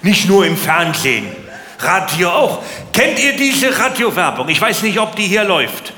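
A man's voice: high-pitched, very animated, normal speed, bright, forceful tone. A man doing stand-up comedy with exaggerated indignation, throwing rhetorical questions at the audience. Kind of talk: stand-up comedy routine with rants. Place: large indoor live comedy venue. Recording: echoey hall, noisy live audience.

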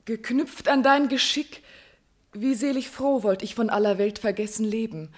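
An actress playing a noble, courageous young princess in love, declaiming impassioned verse in a classical German radio tragedy. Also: female voice, fairly high pitch, fairly even intonation, normal speed, close to an everyday voice. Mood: tender, modest.

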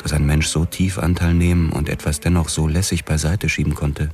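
Very deep-voiced brooding noir detective. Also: male voice, level tone, a little fast, a little mellow, slight background noise. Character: brooding, obsessed male police detective telling his own story. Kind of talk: scripted noir first-person narration.

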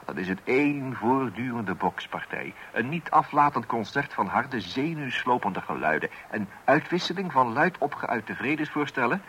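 A man's voice: medium pitch, a bit bright, rough recording. An actor playing an exasperated father of small children in a Dutch radio play, hesitant and blustering, with wry asides. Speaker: narrator addressing the listener. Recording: hissy old radio recording.

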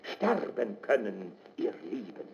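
A man's voice: on the low side, big pitch swings, slow pace, creaky, exaggerated voice. An actor playing a solemn male orator who preaches soldierly sacrifice in a pompous, declamatory radio drama monologue. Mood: solemn, exalting. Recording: dull, noisy lo-fi sound.

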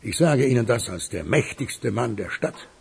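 An actor playing a powerful, commanding older town boss and grandfather in a German radio crime drama, husky and measured. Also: mid-range voice, expressive, darkish tone, full character voice. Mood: grave, insistent. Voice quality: husky, gravelly.

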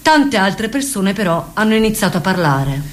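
A woman with a medium-pitched voice narrates a documentary drama. Her delivery is scripted, in the manner of radio theatre. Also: big pitch swings, normal speed, a little hiss or hum. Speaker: actor-narrator.

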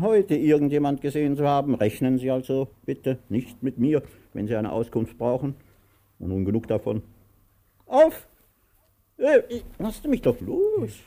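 An actor playing a pompous, helpless blind master with an imperious manner in a theatrical radio drama. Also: male voice, mid-range voice, mellow. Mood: imperious, furious. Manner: imperious, given to barked commands and outbursts.

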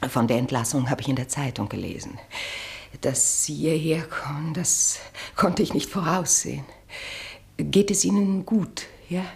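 An actress playing a nervous, self-righteous married woman with a halting, clipped delivery in a 1960s German radio drama. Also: low-pitched, a little mellow. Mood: flustered, evasive. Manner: halting, clipped, dropping into breathy asides.

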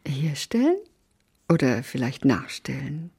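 An actress playing a flirtatious, teasing lover in an intimate radio drama, with a husky, breathy voice. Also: female voice, a bass voice, very animated, a little slow, a little mellow, full character voice. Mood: teasing, seductive. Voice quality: husky, breathy half-whisper.

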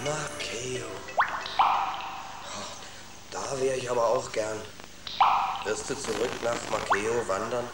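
A man acts out a little young rat, admiring and eager to see the world. He speaks at a medium pitch with a naive, earnest, questioning manner. It is children's radio drama with clear music behind it. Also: steady tone, slow pace, long pauses, close to an everyday voice, rough recording. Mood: wistful, pleading. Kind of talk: children's radio play acting.

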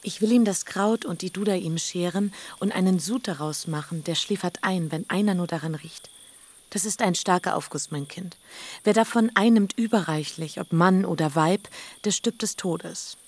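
Lea: proud, possessive elder sister, lower voice, radio drama. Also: female voice, normal speed, darkish tone. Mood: guarded.

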